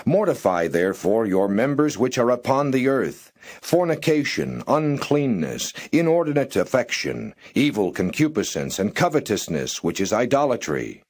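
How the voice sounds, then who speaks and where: A man reading Bible verses aloud in a slow, measured way, pausing between phrases. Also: medium pitch, big pitch swings, darkish tone. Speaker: scripture reader.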